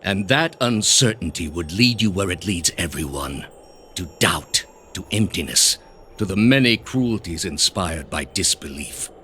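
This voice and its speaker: An actor playing a cold, pompous male grandmaster of an ancient zealous order in a video game, speaking deliberately and with condescension. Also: sits low, expressive, sharp tone, a little hiss or hum, exaggerated voice. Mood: coldly condescending. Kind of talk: dramatic video game dialogue.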